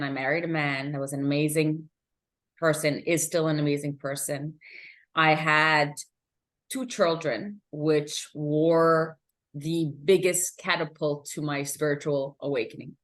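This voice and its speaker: A woman's voice: deep voice, fairly bright tone. A woman from Montreal whose first language is French, talking candidly as a guest coach in an interview, with fillers and restarts. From Montreal, Quebec, Canada. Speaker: guest, emotional intelligence coach. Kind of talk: conversational interview.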